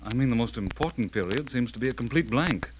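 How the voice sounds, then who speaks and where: An actor playing a dazed, anxious adventurer in an old 1940s-style radio drama, earnest and agitated. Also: male voice, mid-range voice, expressive, fast talker, natural voice. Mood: dazed, groping. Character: dazed, anxious adventurer searching for a kidnapped woman. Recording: narrow, tinny, hissy old radio recording.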